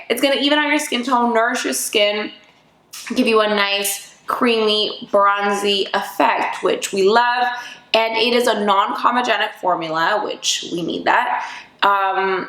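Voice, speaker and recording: A chatty woman talks through a makeup review with bubbly energy, her voice a bit raspy from just waking up. Her pitch sits in an ordinary middle range. The room she records in gives her voice a noticeable echo. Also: a bit bright. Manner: bubbly and chatty, full of fillers. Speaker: beauty vlogger. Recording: echoey room.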